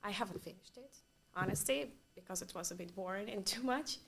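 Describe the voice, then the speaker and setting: A woman whose first language is Russian, a designer speaking as a guest in a casual interview, pausing to search for words in non-native phrasing. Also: medium pitch, creaky, fairly bright tone, breathy edge.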